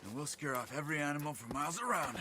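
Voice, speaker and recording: An actor playing a calm, practical frontier hunter, speaking in a terse, firm way in a Western game. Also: male voice, fairly high pitch, very fast, background noise, close to an everyday voice. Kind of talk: cinematic Western game acting.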